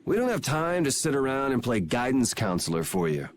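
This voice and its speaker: An actor playing a laid-back, scruffy middle-aged drifter who talks in an offhand, drawling way. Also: male voice, mid-range voice, big pitch swings, brisk, a little hiss or hum, close to an everyday voice. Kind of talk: video game voice acting.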